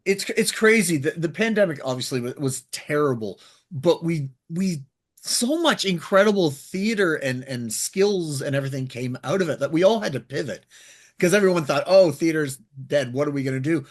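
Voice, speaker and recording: Husky, animated male interviewer. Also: fairly high pitch, moderate pace. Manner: enthusiastic, effusive. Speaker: interviewer, host. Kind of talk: casual chat interview.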